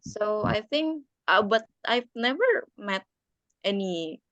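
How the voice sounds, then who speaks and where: A young woman speaks briskly and asks curious questions in a middle pitch. Her recording is a bit dull and lo-fi, and it is dry with no room echo. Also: big pitch swings, a bit bright. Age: young adult. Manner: brisk and inquisitive. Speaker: senior student and national debate delegate. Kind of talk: casual chat. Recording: slightly dull, lo-fi sound.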